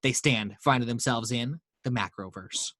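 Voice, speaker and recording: A man reading action lines aloud as narrator of a table read, in a steady storytelling way with small slips. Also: mid-range voice, moderate pace, no room sound, studio quality.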